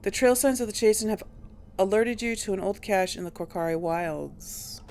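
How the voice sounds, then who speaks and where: A woman's voice: medium pitch, normal speed, darkish tone. A woman doing casual video game commentary, musing aloud and questioning herself as she plays. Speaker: let's play host.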